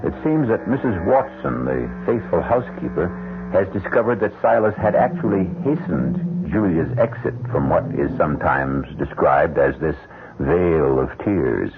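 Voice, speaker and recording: A man hosting an old-time radio mystery, narrating from a script in a wry, measured storytelling manner. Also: deep voice, lively intonation, creaky, noisy background. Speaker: host and narrator. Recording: humming, muffled old radio recording.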